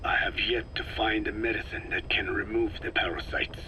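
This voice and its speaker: A man playing an elderly, grave scientist in a video game, explaining things slowly and formally in a breathy, whispery voice. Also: on the low side. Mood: grave, measured. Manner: slow, deliberate, formal explanations. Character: elderly male scientist, frail, grave and measured. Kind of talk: dramatic video game voice acting. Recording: noisy field tape with steady hum.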